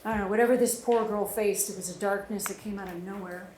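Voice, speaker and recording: A woman's voice: mid-range voice, fairly even intonation, fast talker, darkish tone, natural voice. A woman playing a jaded, middle-aged police detective in a live table read, dry and matter-of-fact. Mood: dry, grim. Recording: echoey room with background noise.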